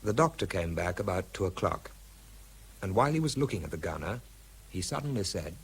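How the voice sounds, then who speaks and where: An actor playing a dry, sober English scientist who tells his wartime story in a measured, understated way. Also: low voice, big pitch swings, husky, heavily acted. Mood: sober narration. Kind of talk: radio drama narration and dialogue.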